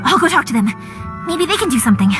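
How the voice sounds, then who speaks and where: A cheerful young fireworks-maker chatters in a very high, bright voice that bounces up and down with energy. She is bold and upbeat, and loud game music plays behind her. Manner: bubbly, quick and animated. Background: loud game background music.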